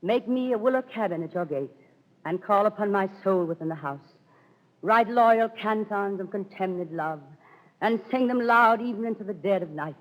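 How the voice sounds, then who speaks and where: A woman's voice: mid-range voice, moderate pace, natural voice. A woman acting a lovelorn young noble figure in a declamatory 1930s radio Shakespeare style. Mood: impassioned pleading. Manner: theatrical, declamatory. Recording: dull vintage 1930s radio recording.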